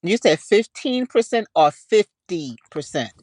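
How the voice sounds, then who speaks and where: A woman's voice: medium pitch, very animated, measured pace, darkish tone, dry recording, clean studio sound. A woman co-hosting a podcast in casual discussion, plain-spoken and questioning. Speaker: podcast co-host.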